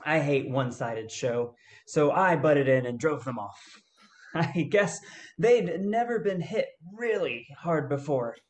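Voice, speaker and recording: Man acting unhurried, easygoing adventurer, lightly pitched. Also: lively intonation. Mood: amused storytelling. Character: easygoing male gold-hunting adventurer telling a tale. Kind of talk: radio play reading.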